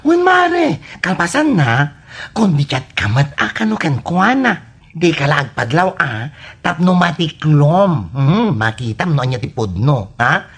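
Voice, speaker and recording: A woman's voice: low voice, big pitch swings, a little slow, breathy edge, exaggerated voice. A woman playing a meddling, scheming friend in an Ilocano radio comedy drama, conspiratorial and coaxing, full of exclamations.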